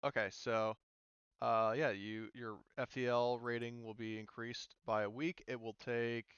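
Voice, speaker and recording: Smooth, dry-miked man running a game. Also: medium pitch, flat delivery, fairly bright tone, dry recording, muffled recording. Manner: halting, thinking aloud while checking rules. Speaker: game master. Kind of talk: casual narration of a tabletop roleplaying game.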